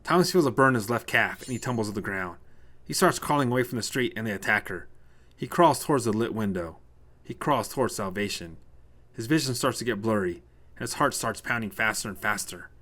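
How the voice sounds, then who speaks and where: A man's voice: lowish voice, expressive, fairly quick, fairly bright tone. A man reading a scripted short story as narrator, told in the present tense.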